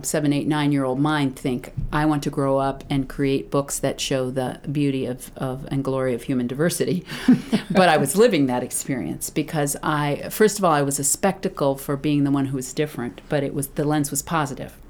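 A woman who illustrates children's books, talking thoughtfully in an interview, often pausing, restarting and laughing. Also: low voice, a little mellow. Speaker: children's book author and illustrator. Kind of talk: reflective interview talk.

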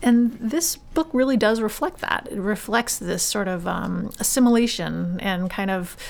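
A woman interviewing two authors, asking measured, gently probing questions. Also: medium pitch, creaky.

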